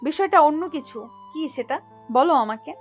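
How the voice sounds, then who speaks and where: Teasing schoolgirl best friend, acted by a woman, high and curious, audio drama with quiet music behind. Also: animated, normal speed.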